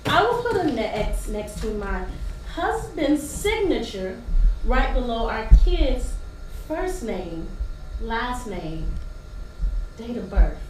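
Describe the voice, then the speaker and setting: Far from the mic in a very echoey theater, an actress plays a struggling wife and mother with a high voice. She is proud and indignant, delivering her stage lines with defiant, sarcastic emphasis over faint noise. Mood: proud, curt. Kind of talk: theatrical stage play acting with dramatic monologue. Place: theater stage, recorded from a distance.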